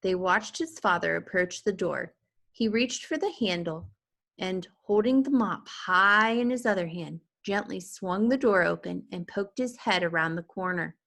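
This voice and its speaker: Lady reading a kids book out loud, super animated, bright voice. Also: medium pitch, a little slow, vocal fry. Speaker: teacher or reader reading a children's book aloud. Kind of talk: expressive read-aloud narration.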